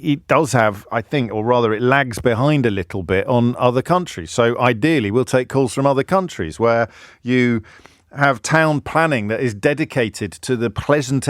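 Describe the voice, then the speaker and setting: A man's voice: medium pitch, no room sound. A man hosting a radio phone-in from a studio, talking in a dry, wry, sardonic way. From outside London. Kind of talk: talk radio monologue and call-in. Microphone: studio microphone.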